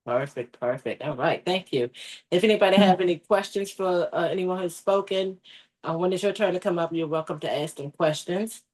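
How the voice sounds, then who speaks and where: A woman hosts a networking video call with brisk, encouraging questions. Her voice sits a little low, and her short affirmations often drop to a murmur. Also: a little mellow. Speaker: networking event host.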